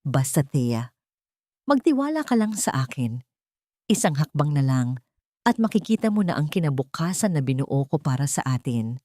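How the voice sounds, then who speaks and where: A woman's voice: low-pitched, very animated, moderate pace, mellow, dry recording, clean studio sound, voice pushed down. A woman storyteller voicing a devoted, reassuring male lover with a tender, coaxing manner. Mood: tender anticipation. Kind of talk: dramatic audio storytelling.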